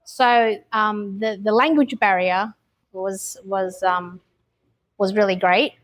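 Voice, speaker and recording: A woman's voice: medium pitch, fairly even intonation, slow. An Australian woman talking openly about her life, with frequent ums and restarts. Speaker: interviewee. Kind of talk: casual interview answers.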